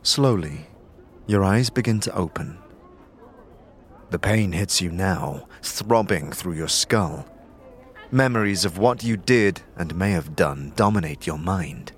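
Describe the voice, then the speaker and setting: A man narrating a fantasy audio drama from a script in a measured, suspenseful storytelling manner. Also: low-pitched, expressive, a little hiss or hum. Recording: music under the voice.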